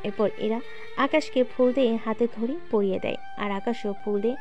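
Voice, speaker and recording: Woman narrator, fairly high voice, chatty asides to listeners, faint music behind. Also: a little fast, darkish tone, lo-fi sound. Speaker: story narrator. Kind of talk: storytelling narration addressing listeners. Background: faint background music.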